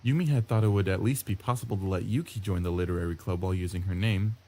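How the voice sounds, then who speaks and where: A man's voice: deep voice, quick pace. A man reading visual novel narration aloud as an introspective, wry sixteen-year-old schoolboy. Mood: reflective. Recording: loud guitar music under the voice. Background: guitar background music.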